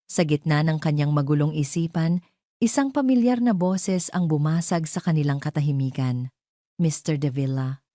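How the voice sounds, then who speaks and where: A woman's voice: low-pitched, mellow, dry recording, clean studio sound. A woman narrating a scripted drama story in a dramatic style.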